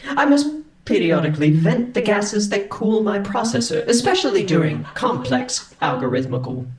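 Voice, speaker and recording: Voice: mid-range voice, sing-song, normal speed, echoey room, a loud crowd, close to an everyday voice. A performer playing a prim, long-suffering android valet in a comic sci-fi audio drama, speaking with formal, fussy, deferential precision. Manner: formal, precise, servile, wordy.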